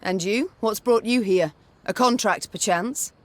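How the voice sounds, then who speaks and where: A woman's voice: mid-range voice, a little slow, natural voice. A woman playing a proud, commanding queen in a fantasy game, speaking in a formal, pointed way. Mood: probing, stern. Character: proud, commanding warrior queen questioning a mercenary. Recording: background music under the dialogue.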